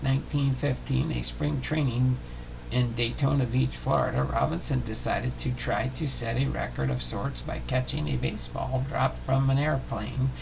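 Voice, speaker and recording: Man, mid-pitched gravelly voice, halting scripted biography narration, stumbles and self-corrections, noisy home recording. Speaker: host of a baseball biography series.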